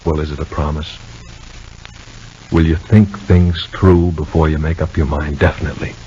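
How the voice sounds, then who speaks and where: A man acting a caring, protective brother in a 1950s radio soap opera, gently persuading in a halting, folksy way. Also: a bass voice, steady tone, slight background noise. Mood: gently coaxing. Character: caring, protective brother giving homespun sporty advice. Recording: muffled 1950 radio drama recording.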